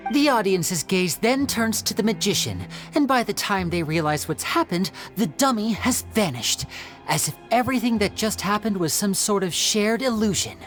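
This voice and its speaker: A woman voicing a calm, theatrical young male stage magician in a video game, explaining tricks in a measured, showman-like way. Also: medium pitch, faint music behind, lightly acted.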